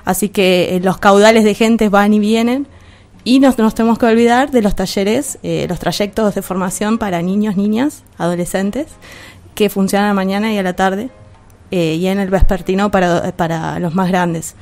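A woman with an Argentine accent explaining things informally in a radio interview, hesitantly and with frequent pauses. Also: medium pitch, fairly even intonation, darkish tone. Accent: Argentine Spanish. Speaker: guest, art school administrator.

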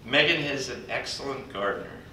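An older man speaking at a podium in a large conference hall, introducing a colleague in a folksy, halting way with pauses. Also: sharp tone. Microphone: podium mic, recorded from afar by a computer. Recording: distant echoey hall recording with background rumble.

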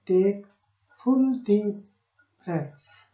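A woman guiding a meditation in short, soothing phrases with long pauses between them. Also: mid-range voice, warm tone. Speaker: meditation guide. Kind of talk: guided meditation narration. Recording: roomy echo on the voice.

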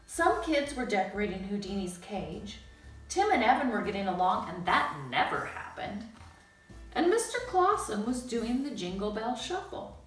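A woman reading a children's story aloud in an expressive way, with dramatic pauses. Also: mid-range voice. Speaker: storybook reader. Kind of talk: animated children's read-aloud narration. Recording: roomy, distant mic, music bed.

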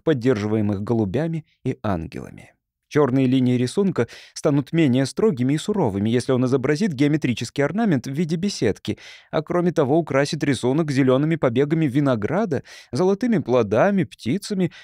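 A man's voice: medium pitch, mellow, dry recording, studio quality. A man narrating a literary audiobook from a script.

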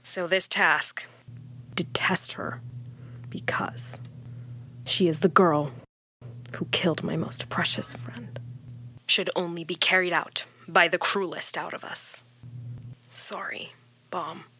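A woman voicing a cold, vengeful young schemer in a dramatic monologue, with a husky, breathy voice. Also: fairly low pitch, steady tone, takes it slowly, long pauses, sharp tone, whispered. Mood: bitter, grieving.

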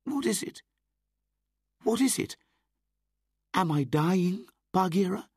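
A man voicing a fierce young boy raised by wolves, speaking loud and high. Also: sing-song, very slow, vocal fry, husky, no echo, clean studio sound, heavily acted. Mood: frightened. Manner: loud, high, forceful declaring.

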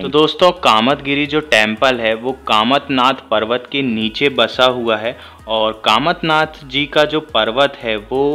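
A man's voice: medium pitch, sharp tone. A man vlogging outdoors around riverside temples, chatting to viewers as friends and giving tips for visitors. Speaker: travel vlogger. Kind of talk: casual travel vlog narration.

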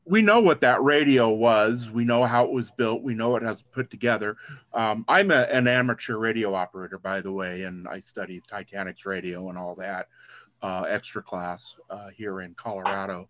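A man talks in a lowish voice, earnest and halting, filling gaps with many ums and uhs. The sound is dry and close, as on a video call. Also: steady tone, fairly bright tone, no echo. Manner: earnest, halting, lots of fillers. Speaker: call participant.

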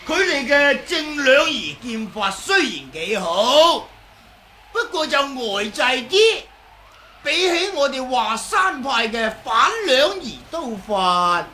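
A cocky, mocking martial swordsman in Hong Kong Cantonese. His delivery is slow but swings widely in pitch, with a bright, forceful edge. It sounds like an old 1980s radio drama. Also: big pitch swings, a little hiss or hum, exaggerated voice. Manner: bantering, mocking, exaggerated.

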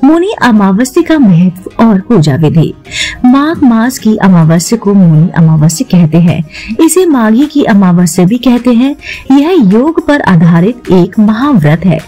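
A woman hosting a devotional channel, reading a religious explainer as a voice-over in a steady, instructive way and calling her viewers friends. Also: mid-range voice, unhurried, faint music behind. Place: voice-over over stock footage.

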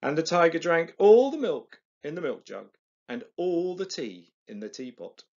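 Slow, expressive man reading a picture book. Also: on the high side, vocal fry, dull audio. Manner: dramatic, deliberate pauses. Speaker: storyteller on an early years channel.

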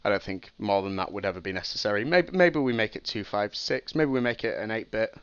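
A man's voice: on the low side, expressive, fairly quick, smooth, no room sound. A young man talks through a design on a casual live stream, thinking aloud and correcting himself as he goes. He has a cold and sounds sniffly. The sound is dry and a bit dull, as from a home streaming setup. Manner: thinking aloud, many ums and restarts. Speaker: streamer teaching game programming.

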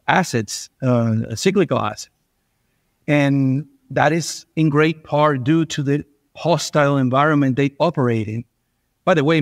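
A man's voice: medium pitch, no room sound, clean studio sound. A middle-aged man from Venezuela, a guest investor on a podcast, talking things through with frequent restarts and repeated words. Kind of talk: conversational podcast interview.

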